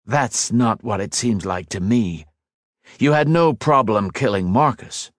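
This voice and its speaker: A man's voice: fairly low pitch, measured pace, no echo, natural voice. A man voicing an angry young swordsman hero, speaking in a tight, clipped, defiant way. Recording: dry close studio read.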